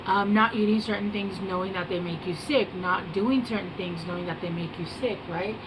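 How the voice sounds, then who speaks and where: Soft-spoken woman over an air-conditioner hum. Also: mid-range voice, moderate pace. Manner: soft-spoken, meandering, hesitant with many fillers. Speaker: tarot reader. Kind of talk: casual rambling tarot reading to camera.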